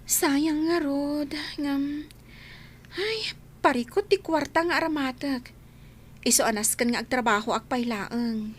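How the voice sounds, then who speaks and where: A woman's voice: high-pitched, unhurried, pauses often. An actress playing an earnest, dutiful young village woman in a radio drama, speaking reassuringly. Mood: regretful. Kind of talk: Ilocano radio drama acting. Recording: faint noise and ambience behind.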